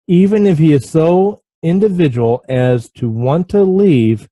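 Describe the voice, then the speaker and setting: A man hosting an informal writing lesson, halting and apologetic as he talks and reads aloud, often stumbling over his words. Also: on the high side, warm tone, no echo, rough recording. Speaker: host of a writing podcast. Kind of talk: informal lesson with passages read aloud from a book.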